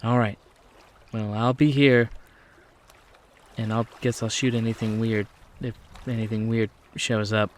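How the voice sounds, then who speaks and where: A man playing a hesitant, foul-mouthed tugboat captain in a tabletop game, talking in a halting, rambling way. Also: lowish voice, level tone, close to an everyday voice. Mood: grudging.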